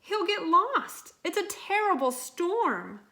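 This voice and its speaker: A woman's voice: very high-pitched, sing-song, slow pace, sharp tone, pitched above the performer's own voice, exaggerated voice. A woman voicing a worried, pleading dog owner from a children's storybook, speaking emphatically. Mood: anxious, pleading.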